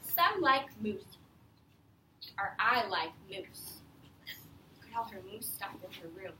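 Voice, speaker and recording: A woman's voice: medium pitch, very animated, slow pace. A woman teacher reading aloud to young children in a classroom, explaining simply and often prompting them with questions. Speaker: elementary school teacher. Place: echoey classroom. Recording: echoey room, distant mic, faint noise.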